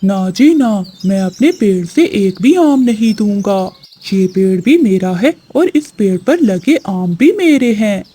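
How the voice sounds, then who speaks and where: A lazy, selfish male pigeon for a cartoon fable, acted by a woman. He grumbles in a lowered voice with a slow, possessive drawl. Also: lively intonation, warm tone, breathy edge. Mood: stubborn.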